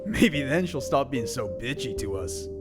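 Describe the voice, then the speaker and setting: A young man playing a brash, foul-mouthed high school boy with a grudge in an audio drama, scornful and emotive. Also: very animated, moderate pace, over music, lightly acted.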